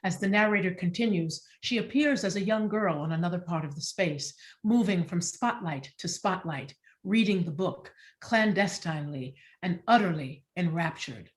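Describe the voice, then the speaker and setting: Low-voiced woman reading stage directions. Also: normal speed, hardly any pauses. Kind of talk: scripted narration of stage directions.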